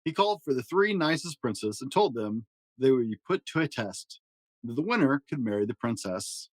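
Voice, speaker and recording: Male storybook reader, mid-pitched, animated bedtime delivery with dramatic pauses, recorded dry. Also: no echo, rough recording. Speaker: podcast host reading a children's storybook aloud. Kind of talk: expressive storybook narration.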